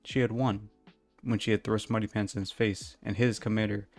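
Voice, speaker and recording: A man's voice: fairly low pitch, little pitch movement, moderate pace, faint music behind. A man reading a story aloud in an informal, amateur storytelling style. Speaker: fan fiction reader. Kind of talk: storybook narration, casual intro.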